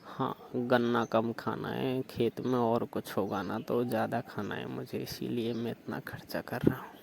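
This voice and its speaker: A man's voice: mid-range voice, little pitch movement, a little slow, creaky, a little hiss or hum. A man on a recorded phone call, insistent and suggestive, pressing with question after question. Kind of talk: staged flirtatious phone call.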